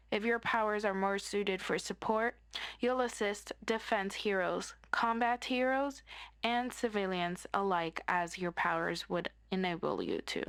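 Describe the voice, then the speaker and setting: Woman playing superhero mentor for audio roleplay: friendly, mid-pitched, orderly, instructive orientation delivery. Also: a bit bright, clear, resonant tone.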